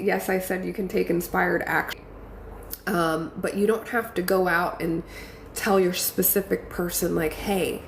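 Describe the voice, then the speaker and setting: A congested woman hosting a casual live stream, reading viewer comments and encouraging them warmly. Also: mid-range voice, gravelly. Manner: encouraging, affirming, chatty with fillers. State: congested from a cold. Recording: echoey room with faint ambient noise.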